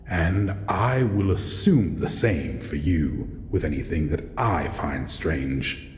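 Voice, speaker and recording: A man voicing a solitary shadow monster in an audio roleplay, guarded but courteous, speaking in a formal, measured way. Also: rumbling low, darkish tone, heavily acted. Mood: measured, reassuring. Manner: slow, formal, measured, calls listener human. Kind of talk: scripted audio roleplay addressed to the listener. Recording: echoey, long reverb, faint noise.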